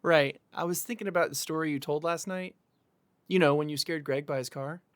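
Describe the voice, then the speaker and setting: A man's voice: on the high side, steady tone, brisk, a bit bright, no echo, natural voice. An actor playing a persuasive, easily exasperated young man in casual, naturalistic audio drama dialogue.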